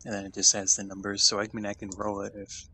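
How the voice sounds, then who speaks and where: Male tabletop player, fairly low pitch, casual and conversational, with audible background noise. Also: level tone, quick pace, vocal fry, bright, forceful tone.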